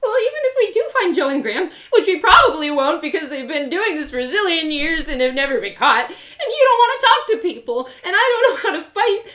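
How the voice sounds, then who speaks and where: A woman's voice: very high voice, sing-song, brisk, hardly any pauses, reverberant, noisy background, voice pushed up. A woman voicing an earnest, emotional young girl adventurer in an audio drama, pleading and wailing. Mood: tearful outburst. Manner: emotional, pleading, swinging to loud wails.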